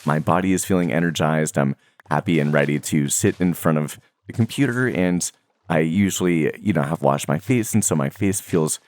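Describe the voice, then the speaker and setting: A young man who makes Minecraft videos, talking casually to his viewers in a relaxed, rambling way with many fillers and soft laughs. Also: extremely low pitch, moderate pace. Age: young adult. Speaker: Minecraft content creator.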